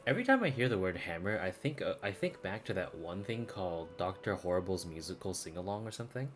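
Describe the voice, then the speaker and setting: Very low-pitched, chatty male game streamer. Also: sing-song, fast, soft background music. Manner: rambling, chatty, stammered repeats. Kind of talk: casual live game-stream commentary.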